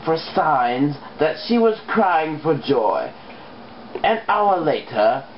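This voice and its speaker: An amateur narrator reads a story slowly and deliberately, with lively swoops in pitch and some halting. The recording is muffled, with clear background noise. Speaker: co-reader of fan fiction. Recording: dull lo-fi mic with background noise.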